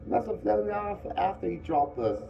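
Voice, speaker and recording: A man reading aloud who voices a fair-haired schoolboy, casual and confident, in a high boyish voice. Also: expressive, normal speed, gravelly, muffled recording. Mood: offhand.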